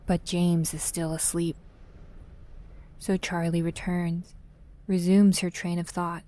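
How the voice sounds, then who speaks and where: Slow, mellow female narrator. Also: on the low side, little pitch movement. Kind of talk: scripted dramatic narration.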